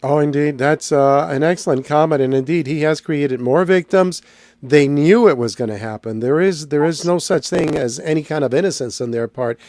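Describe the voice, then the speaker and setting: A man with a fairly high voice hosts a casual interview in a dry, close sound. He chats loosely, rambling and doubling up his words. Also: moderate pace, a little mellow, dry recording. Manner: chatty, rambling with repeated words. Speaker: host.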